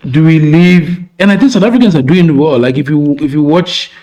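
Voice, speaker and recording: Mellow-voiced man, thoughtful. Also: fairly high pitch, fairly quick, dull audio. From Ghana. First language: Twi. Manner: reflective, searching for words. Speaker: podcast co-host and filmmaker. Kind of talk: casual conversation.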